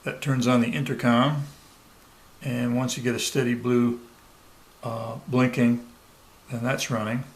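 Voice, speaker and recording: A man presenting a hands-on product tutorial step by step, pausing mid-sentence as he demonstrates. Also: medium pitch, level tone. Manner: halting, pauses mid-sentence while demonstrating. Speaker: product reviewer giving a demonstration. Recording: clear background noise, room ambience.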